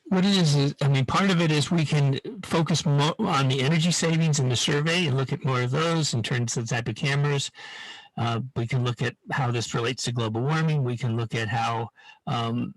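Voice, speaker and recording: A man's voice: medium pitch, brisk, no room sound, rough recording. A man leading an informal live online science lesson in a hesitant, rambling way, often restarting his sentences. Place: online video call.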